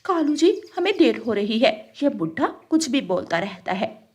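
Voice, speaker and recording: A woman voicing a mocking, haughty female crow in a children's animated story. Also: a little high, measured pace, slightly rough, exaggerated voice. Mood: dismissive.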